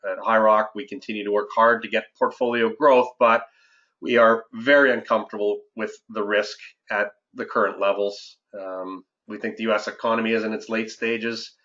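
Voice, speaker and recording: Guy doing market commentary on a conference call, lowish voice, halting, kinda muffled audio. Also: little pitch movement, a bit bright. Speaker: investment adviser hosting a client conference call. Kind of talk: semi-scripted financial market commentary. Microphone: conference call line.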